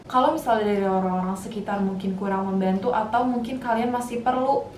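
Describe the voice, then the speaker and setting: A woman's voice: medium pitch, quick pace, darkish tone. Young woman student, casual talk, away from mic, faint noise. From Indonesia. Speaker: university student. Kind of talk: casual panel discussion. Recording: echoey room, distant mic, faint noise.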